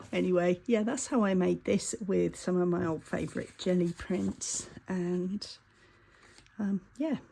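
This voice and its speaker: A woman's voice: fairly low pitch, steady tone, takes it slowly, vocal fry, pauses often, warm tone, slight background noise. A woman with a congested voice from a heavy cold, chatting casually about her crafting and stumbling over her words. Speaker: craft vlogger. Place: home craft table.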